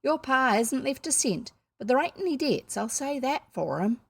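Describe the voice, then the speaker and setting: A woman reader puts on a blunt rural housekeeper woman from a storybook. She is folksy and sure she is right, scolding in a matter-of-fact way. The voice is husky and creaky, recorded dry. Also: sits high, expressive, normal speed, dry recording, pitched above the performer's own voice, full character voice. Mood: brisk, blunt.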